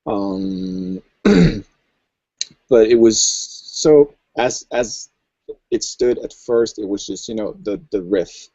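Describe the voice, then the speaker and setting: A man, a music producer, explaining things thoughtfully in an interview with many pauses and ums. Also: lowish voice, fairly even intonation, dark tone, dry recording, muffled recording. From Norway. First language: Norwegian. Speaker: electronic music producer, guest. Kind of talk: casual interview chat.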